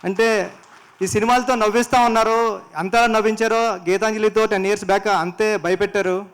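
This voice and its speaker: Clear, high-voiced Telugu-accented man on stage. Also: fairly even intonation, normal speed, a bit bright. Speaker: speaker at a film promotional event. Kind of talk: casual congratulatory stage speech.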